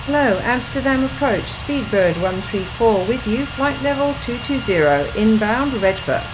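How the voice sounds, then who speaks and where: A woman's voice: fairly high pitch, expressive. A woman playing an airline pilot making formal, clipped radio calls to air traffic control. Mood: businesslike. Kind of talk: clipped aviation radio phraseology. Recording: loud rushing cockpit-like noise.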